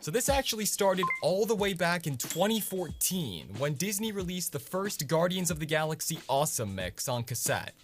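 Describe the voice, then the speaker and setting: A man's voice: on the high side, big pitch swings, normal speed, few pauses, over music. A man hosting a scripted explainer vlog in a conversational, punchy way with casual asides. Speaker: video host.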